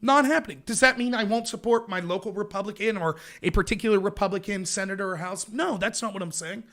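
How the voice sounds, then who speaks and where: Man, very high-pitched, livestream rant, sarcastic and emphatic. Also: fast, a bit bright. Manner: emphatic, sarcastic, rhetorical questions.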